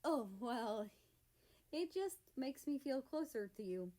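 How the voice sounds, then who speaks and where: A woman's voice: high-pitched, lively intonation, slow, dark tone, pitched above the performer's own voice. A woman voicing a shy, easily flustered young man who stammers and hesitates.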